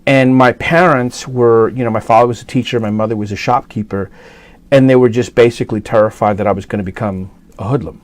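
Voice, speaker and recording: A man's voice: medium pitch, steady tone, brisk, no echo. A middle-aged man from New York City talking casually as an interview guest, rambling with many fillers and restarts. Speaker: guest actor.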